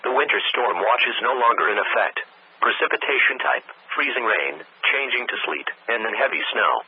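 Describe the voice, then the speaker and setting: A synthetic text-to-speech man reads a scripted weather warning bulletin over the radio in measured, impersonal, segmented phrases. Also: mid-range voice, animated, husky. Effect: weather radio broadcast. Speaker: automated weather radio announcer. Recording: tinny narrowband weather radio broadcast.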